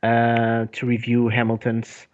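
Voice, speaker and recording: A man's voice: medium pitch, completely flat delivery, measured pace, lo-fi sound. A man co-hosting a casual podcast chat, speaking hesitantly with restarts and fillers. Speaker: podcast co-host. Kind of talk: casual chat about motor racing.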